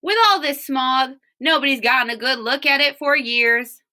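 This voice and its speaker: A woman's voice: sits high, normal speed, pushed and bright, voice pushed up. A woman voicing a friendly, folksy cowgirl guide from a children's storybook, hearty and showy. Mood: folksy pride. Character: friendly cowgirl on horseback, proud Western guide.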